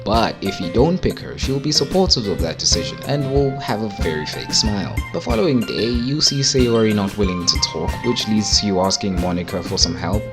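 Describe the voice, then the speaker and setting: A man's voice: lowish voice, expressive, a little fast, hardly any pauses. A man narrating a casual, comedic video game recap in an irreverent, wry way. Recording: music behind the voice.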